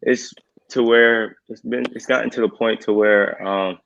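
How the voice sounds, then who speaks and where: A young man speaks haltingly, with plenty of fillers, as a guest on a panel. His voice is lowish and flat. The sound is dull and lo-fi. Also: gravelly, a little hiss or hum. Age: young adult. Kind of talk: casual discussion.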